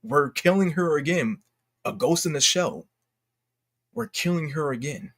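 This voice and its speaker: A man giving unscripted commentary as a YouTuber, talking in a halting, slangy, exclamatory way and often interrupting himself. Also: on the high side, animated, fairly bright tone. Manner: rambling, halting, self-interrupting. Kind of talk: unscripted casual commentary rant.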